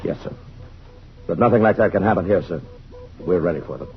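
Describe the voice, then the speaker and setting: An actor playing a brisk, confident colonel in an old radio drama, clipped and authoritative. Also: male voice, sits low, breathy edge, exaggerated voice. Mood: brisk, commanding. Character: brisk, confident male army colonel in command. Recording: muffled vintage radio with faint noise.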